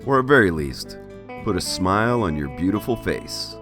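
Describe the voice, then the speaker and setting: A man's voice: low voice, animated, moderate pace, music in the background. A middle-aged man hosting an art vlog with scripted narration. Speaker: host, artist.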